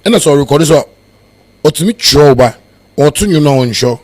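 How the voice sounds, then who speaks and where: A man with a Ghanaian accent and a husky voice, a musician telling his story in a casual interview, rambling and switching into Twi and Pidgin. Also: fairly high pitch, animated, fairly quick, a little mellow. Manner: rambling, filler-heavy storytelling. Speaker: musician guest.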